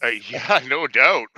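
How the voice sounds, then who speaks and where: A man with a Canadian accent co-hosting a casual political chat, crude and quick to laugh and cheer others on. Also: medium pitch, pushed and bright, no echo.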